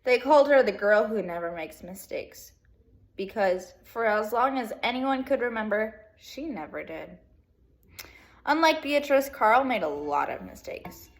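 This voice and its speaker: A woman teacher reading a children's picture book aloud in an expressive way, with casual asides to young pupils. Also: a little high, unhurried. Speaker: second grade teacher.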